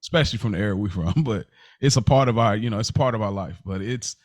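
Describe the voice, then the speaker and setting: An American man hosting a casual podcast discussion, telling stories in an animated way, acting out quotes and repeating phrases for emphasis. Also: on the low side, fast talker, dry recording, clean studio sound. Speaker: podcast host.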